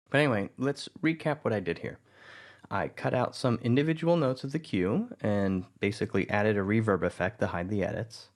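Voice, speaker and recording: A man narrating a tutorial on music editing in a relaxed, explanatory way. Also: lowish voice. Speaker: audio drama producer teaching music editing. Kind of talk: tutorial voice-over.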